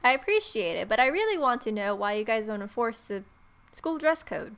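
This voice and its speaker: A young woman plays a visual novel and reads its narration aloud. Her voice is on the high side, and she is expressive and irreverent as a gaming host. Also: brisk, smooth.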